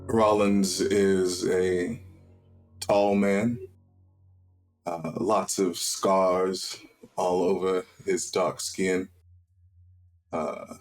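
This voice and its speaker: A man playing a tabletop roleplay game, describing his character's story in short, measured, solemn phrases with long pauses. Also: sits low. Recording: music under the voice. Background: background music.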